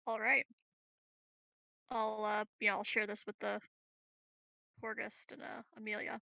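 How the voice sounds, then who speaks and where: A woman's voice: mid-range voice, little pitch movement, a little slow, creaky, sharp tone, no room sound. A woman playing a tabletop roleplaying game, chatting casually and matter-of-factly about her moves.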